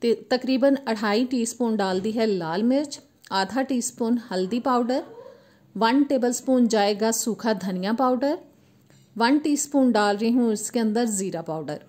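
A woman, host of a cooking channel, calmly explaining a recipe step by step in voice-over. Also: fairly high pitch, unhurried. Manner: steady step-by-step instructional tone.

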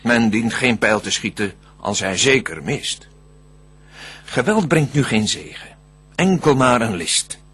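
An actor playing a cunning, smooth-tongued devil in a Dutch verse radio drama, declaiming deliberately with a husky voice. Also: male voice, medium pitch, expressive, a bit bright. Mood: calculating. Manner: theatrical, scheming, deliberate declamation. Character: cunning, smooth-tongued male devil plotting to tempt mankind.

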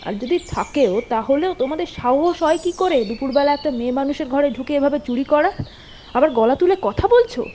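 A woman voices a fiery, protective homemaker in a doll story. The voice is high but mellow, delivered with theatrical emphasis. Light noise and birdsong run underneath. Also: expressive, brisk. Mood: outraged scolding. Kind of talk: doll-story drama dialogue.

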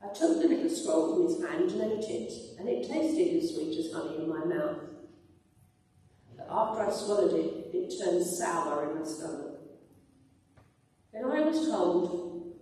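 A woman's voice: on the low side, big pitch swings, unhurried, pauses often, warm tone. An older woman reading scripture aloud at a church lectern. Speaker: scripture reader. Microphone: microphone on a boom stand. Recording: very echoey church, distant sound.

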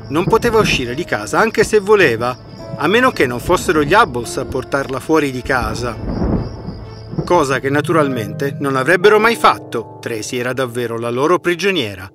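Guy narrating a fairy tale, expressive n lively, kinda high voice, music behind. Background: background music.

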